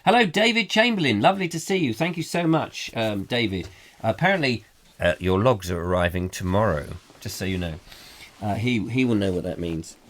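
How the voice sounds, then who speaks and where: A man hosting a comic live chat show, playful and silly, reading out viewer comments in a croaky voice. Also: fairly low pitch, expressive, brisk, a bit bright. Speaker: host.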